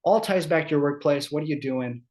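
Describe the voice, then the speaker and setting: A man's voice: fairly high pitch, a little mellow. A man with a scratchy throat from a cold, giving an HR law seminar in a chatty way, often checking in with "right?". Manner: conversational, quick asides, keeps asking "right?". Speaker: employment law presenter. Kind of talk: HR seminar presentation.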